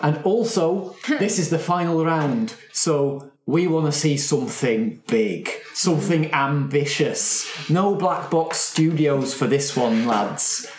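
A man's voice: a little high, animated, takes it slowly, hardly any pauses. A man voicing an effusive woman who presents a competition and rouses the room, in a tabletop roleplaying game. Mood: excited, rallying. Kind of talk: game master voicing a character. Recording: roomy, echoing room.

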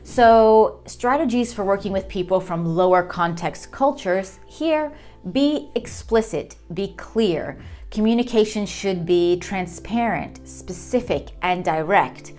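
A woman in her thirties explaining a topic in an educational video, talking clearly and gesturing as she goes. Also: medium pitch, lively intonation, takes it slowly, darkish tone, faint music behind. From United States. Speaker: lecturer. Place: small room indoors.

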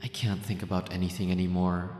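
A man's voice: low-pitched, little pitch movement, fairly quick, lightly acted. A man playing an obsessive, lovesick young ex-boyfriend in an ASMR roleplay monologue, anxious, possessive and agonized. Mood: desperate, longing. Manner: brooding, agonized, self-questioning. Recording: reverberant echoey voice over music. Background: music.

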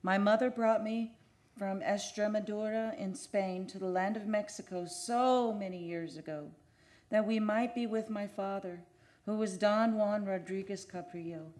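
Casting: actress as lovelorn female ghost, captain's daughter from old Spain. Mid-pitched, solemn, declamatory radio-play style. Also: a little mellow, close to an everyday voice. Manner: solemn, archaic, declamatory phrasing. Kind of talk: old-time radio drama acting.

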